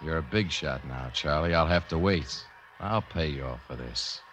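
An actor playing a menacing, resentful crooked fight boss in a 1950s radio drama, speaking low and threatening. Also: male voice, animated, normal speed, a bit bright. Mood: quietly threatening. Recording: faintly noisy 1950s radio recording.

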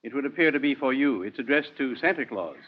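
A man's voice: mid-range voice, lively intonation, quick pace, lightly acted. A man playing a formal, easily flustered courtroom judge in a 1940s radio drama. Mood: dry, formal. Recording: muffled, noisy 1940s radio broadcast.

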